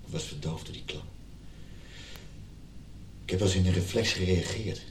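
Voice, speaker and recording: An actor playing an injured husband in a radio drama, earnestly and emotionally pleading for reconciliation. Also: male voice, moderate pace, close to an everyday voice. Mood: confessional, tender. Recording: roomy sound with background rumble.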